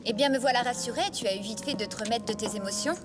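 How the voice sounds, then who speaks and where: An actress playing an earnest, trusting young heiress and devoted sister in a cartoon. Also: female voice, fairly high pitch, fast, over loud music, natural voice. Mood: concerned, insistent. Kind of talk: animated cartoon dub.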